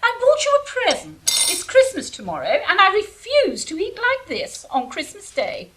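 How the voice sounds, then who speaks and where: A woman playing an imperious, scheming queen, haughty and drawn-out, in a comic audio drama. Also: very high-pitched, wildly swooping pitch, fairly bright tone, heavily acted, rough recording. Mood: disdainful.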